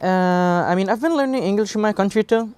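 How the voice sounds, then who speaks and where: A young man from Egypt, a native Egyptian Arabic speaker, answering interview questions in a small TV studio, halting and blunt with many fillers and restarts. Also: sits high, a little mellow, smooth, dry recording. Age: young adult. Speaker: student guest.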